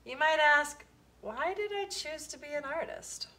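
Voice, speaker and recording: A woman reading a children's picture book aloud, with expressive, deliberate phrasing. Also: sits high, slight background noise. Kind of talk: storybook read-aloud for children.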